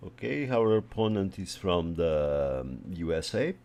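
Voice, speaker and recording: Slow, deep-voiced man thinking aloud. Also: very animated. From Portugal. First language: Portuguese. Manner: slow, thinking aloud, trails off into quiet asides. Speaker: chess streamer. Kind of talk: casual live commentary over a chess game.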